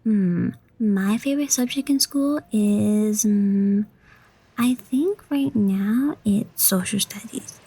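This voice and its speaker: A woman's voice: mid-range voice, slow, warm tone, husky, dry recording, rough recording. A woman voicing an eager, curious young schoolgirl in an audio drama. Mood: curious, thoughtful. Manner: eager, childlike. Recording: music under the voice. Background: music.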